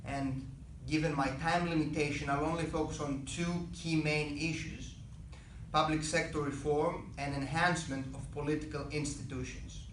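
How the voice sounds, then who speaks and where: A young man from Greece, giving a prepared public speech to classmates in an earnest, measured way with emphatic key phrases. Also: a little high, steady tone, a bit bright. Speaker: student speaker. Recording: echoey room, speaker far from mic, faint background noise.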